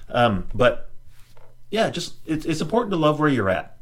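A man's voice: a little high, very animated, moderate pace. A middle-aged man who hosts a podcast, talking in a reflective, casual way with many restarts and "you know"s. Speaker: podcast host. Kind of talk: casual conversation.

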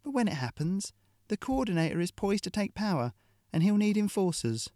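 A man's voice: high voice, very animated, a little fast, dark tone, no room sound, clean studio sound, pitched above the performer's own voice. A man putting on a sneering brutal mercenary woman. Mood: contemptuous. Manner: sneering, taunting.

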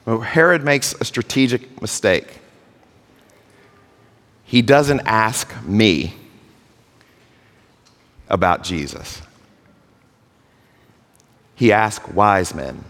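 A man preaching a sermon as pastor, earnest, with drawn-out phrasing. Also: on the low side, a bit bright. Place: church sanctuary. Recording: long hall reverb.

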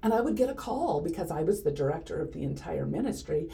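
Encouraging woman with a mellow voice. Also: low voice, big pitch swings, quick pace, gravelly. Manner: earnest, encouraging, preachy. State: a little hoarse. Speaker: podcast co-host. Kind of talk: casual chat.

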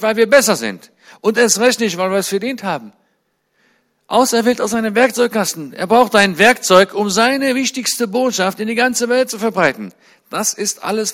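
A man in his forties giving a sermon-style talk to a church congregation. He chats in a friendly way, then grows fervent and climbs high and loud on his main points. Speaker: guest speaker at a church.

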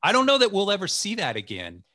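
A man's voice: high voice, wildly swooping pitch, fast talker, sharp tone, no echo, studio quality. A man in his forties hosting a podcast, chatting enthusiastically with a guest over a video call. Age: 40s. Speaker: podcast host. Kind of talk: casual interview chat.

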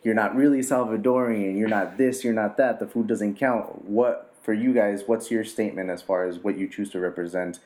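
An interviewer asking measured, probing questions in a casual talk. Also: mid-range voice, steady tone, a little mellow.

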